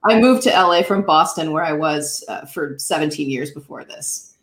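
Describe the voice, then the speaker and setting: A woman in her thirties from the northeastern United States, telling her story as an interview guest, animated and laughing often. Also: sits low, moderate pace. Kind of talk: conversational interview. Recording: echoey room.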